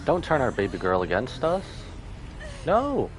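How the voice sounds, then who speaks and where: A man's voice: mid-range voice, huge pitch swings, unhurried, poor audio. A man livestreaming a story game, reacting casually and playfully with dramatic exclamations. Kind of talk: casual live gaming reaction commentary. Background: game music.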